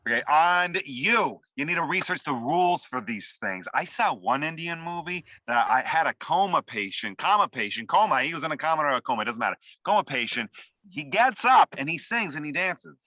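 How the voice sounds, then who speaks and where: Man playing frantic, bright-voiced scatterbrained boss. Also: fairly high pitch, lively intonation, brisk, dry recording. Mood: frantic, bossy. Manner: rambling, repeats himself, swears. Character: frantic, incompetent, self-absorbed male boss, excitable and muddled. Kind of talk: comedy screenplay table read. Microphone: video call. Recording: dry close video-call audio.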